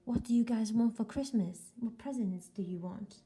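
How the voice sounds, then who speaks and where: A woman's voice: on the high side, moderate pace, mellow. A woman chatting casually with children as a live storytime host, encouraging and full of questions.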